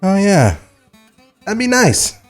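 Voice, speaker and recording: A man playing brash, excitable old-time cartoon studio guys with exaggerated delivery in a comedic table read. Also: high-pitched, slow, soft background music, voice pushed up, exaggerated voice. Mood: offhand.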